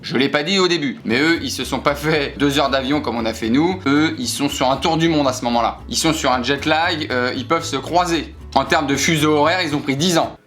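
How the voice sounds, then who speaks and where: A young man telling a comic holiday story to camera, animated, full of sound effects and asides. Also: fairly high pitch, a little fast, few pauses. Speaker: comedian storyteller.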